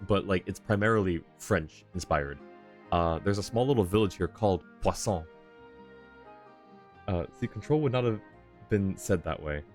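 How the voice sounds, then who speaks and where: Deep-voiced man streaming a game. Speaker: game livestreamer. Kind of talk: casual commentary while playing.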